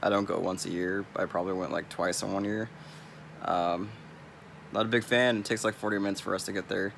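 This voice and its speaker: A man's voice: sits low, steady tone, fairly quick, gravelly, fairly bright tone, a little hiss or hum. A young man from California with a Californian accent, rambling bluntly and swearing freely in a casual vlog. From California, US West Coast. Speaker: vlogger.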